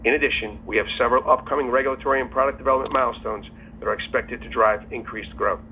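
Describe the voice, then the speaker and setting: A man in the role of company executive gives a scripted earnings call presentation in a formal, measured way. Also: mid-range voice, steady tone. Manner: formal, measured reading of prepared remarks. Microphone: telephone conference call. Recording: tinny phone line with faint noise.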